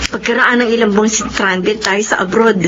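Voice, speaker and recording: A woman's voice: medium pitch, fairly even intonation, moderate pace, lo-fi sound, lightly acted. A woman playing a friendly, relieved overseas worker companion in a Filipino radio drama, speaking expressively. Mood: relieved, hopeful.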